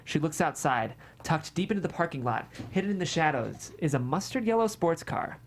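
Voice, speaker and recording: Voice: mid-range voice, very animated, a little fast, slight background noise. A narrator reading scripted stage directions at a table read of a screenplay.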